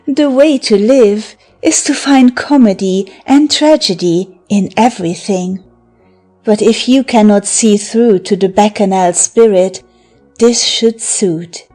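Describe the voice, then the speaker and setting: A woman performs a teasing enchantress who taunts her lover in a theatrical verse drama. She speaks very slowly at an ordinary female pitch, with mockery and seduction in her delivery. Soft music sits behind the voice. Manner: theatrical, taunting, breaking into laughter and sighs. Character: teasing, mocking seductive woman of magical wiles. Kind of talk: verse drama audiobook acting.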